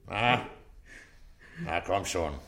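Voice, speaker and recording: An actor playing a middle-aged police inspector in a German radio drama, speaking in a calm, coaxing, fatherly and shrewd manner. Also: low voice, extremely slow, creaky, slight background noise, full character voice.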